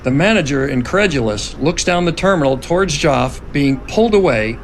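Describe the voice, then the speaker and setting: A man with a gravelly voice reading scene directions as narrator of a dramatic script reading. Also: lively intonation, moderate pace. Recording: noticeable background rumble.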